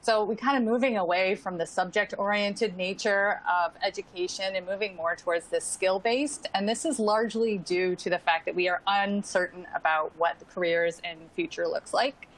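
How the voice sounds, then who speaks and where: A woman's voice: medium pitch, fairly even intonation, normal speed, hardly any pauses, rough recording. A woman speaking as a panelist in an online discussion, casual and sprinkled with "like" and "um". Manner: casual, frequent "like" and "um".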